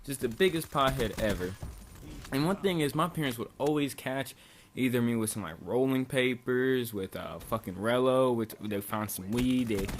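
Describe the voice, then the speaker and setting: Young man, from Bosnia, rambling storyteller, mid pitch, swears a lot, faint background hiss. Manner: rambling, profane, lots of "like". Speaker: YouTuber telling a story. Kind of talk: casual storytime over gameplay.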